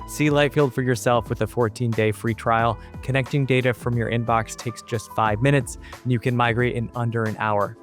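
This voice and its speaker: A man co-hosting a business podcast in a conversational, plain-spoken style. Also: medium pitch. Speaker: podcast co-host, newsletter writer. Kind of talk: casual business podcast talk.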